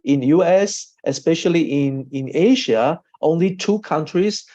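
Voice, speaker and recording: A man from Austria who is not a native English speaker gives an informal online training talk, haltingly, repeating himself and often checking with "okay?". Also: fairly high pitch, mellow. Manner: halting, repetitive, often adds a questioning "okay?". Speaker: presenter and trainer, a doctor. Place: online video call. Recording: dull, lo-fi online call audio.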